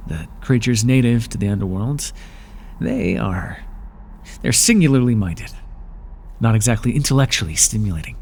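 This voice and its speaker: A man's voice: mid-range voice, a little hiss or hum. A man playing an aloof underworld god. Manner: dry, aloof, quietly curious. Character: proud weary male god of the underworld. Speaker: ASMR roleplay performer. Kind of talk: ASMR roleplay.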